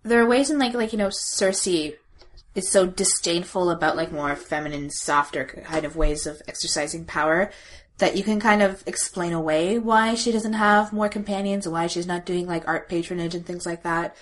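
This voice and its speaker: A woman in a casual podcast discussion, searching for her words in a halting way. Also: on the low side, fairly even intonation, slight background noise.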